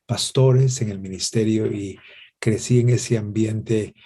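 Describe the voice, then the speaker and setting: A man, answering in an interview in a gracious, halting way with many pauses. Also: on the low side, steady tone, dark tone, slightly rough. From Guatemala. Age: 60s. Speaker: interview guest, pastor and worship musician.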